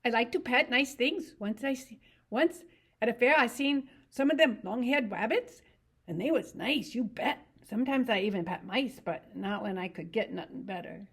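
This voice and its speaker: A woman's voice: on the high side, normal speed, a bit bright, breathy edge, voice pushed up. A woman voicing a simple-minded big male farmhand who talks in a childlike, earnest way with rural grammar, in an audiobook reading. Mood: earnest wistful.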